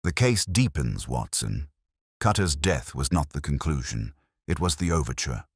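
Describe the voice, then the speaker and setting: A man's voice: extremely low pitch, sing-song, normal speed, breathy edge, no echo, clean studio sound, exaggerated voice. A man voicing a coolly precise, commanding Victorian detective with curt, cerebral delivery in an audiobook. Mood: intent. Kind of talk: audiobook character dialogue.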